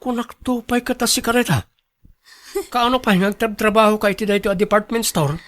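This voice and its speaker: Radio drama suitor: young, high voice, coaxing and pleading, northern Philippine accent. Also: male voice, steady tone, fairly quick, a bit bright. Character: young male suitor, coaxing, sweet-talking, repentant.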